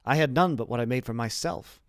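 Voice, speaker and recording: A man voicing a learned, principled old imprisoned abbé in a deliberate, formal, lecturing manner for an audiobook. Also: medium pitch, no room sound, studio quality, natural voice. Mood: proud, scholarly. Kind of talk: audiobook character reading.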